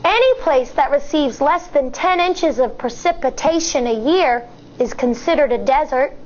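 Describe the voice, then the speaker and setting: A woman, an elementary school teacher, reading a nonfiction picture book aloud to young children in a deliberate, explanatory way. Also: high-pitched, lively intonation, darkish tone, a little hiss or hum. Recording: dull, muffled lo-fi sound.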